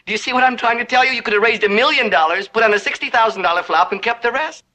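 An actor playing a timid, neurotic young accountant with prim, fussy phrasing and easily panicked delivery in a broad film comedy. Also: male voice, extremely high pitch, racing, pushed and bright. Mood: earnest. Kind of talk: broad comic film acting.

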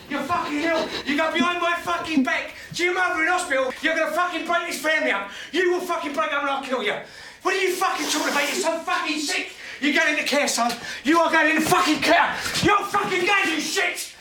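A man's voice: very high voice, noisy background. An actor playing a volatile, menacing middle-aged father in a naturalistic film, aggrieved, repeating himself and swearing. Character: volatile, menacing father of a troubled teenage son.

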